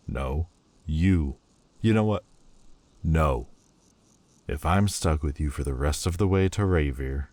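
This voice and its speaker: A man voicing a sullen, proud demon lord who speaks with slow, scornful deliberation in an audio roleplay. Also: very deep voice, a little mellow, dry recording.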